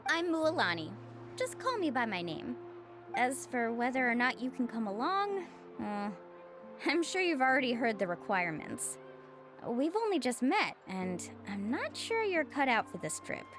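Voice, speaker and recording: A woman's voice: on the high side, sing-song, normal speed, music in the background. A woman playing an upbeat, earnest young tour guide in a video game, with a friendly manner. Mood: polite, cautious. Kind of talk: video game character acting.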